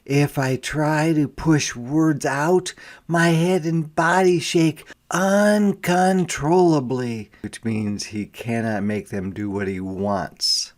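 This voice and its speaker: Slow-paced man reading a storybook aloud. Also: fairly high pitch, fairly even intonation. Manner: deliberate, with quieter explanatory asides. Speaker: teacher reading a picture book aloud. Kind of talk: interactive read-aloud storytelling with explanatory asides.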